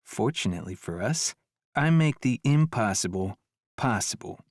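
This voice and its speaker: A man's voice: lowish voice, lively intonation, normal speed, dry recording, studio quality. A male actor playing an easygoing, self-assured prop maker with a paranoid streak in a full-cast audiobook. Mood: breezy, confident. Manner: laid-back, self-assured.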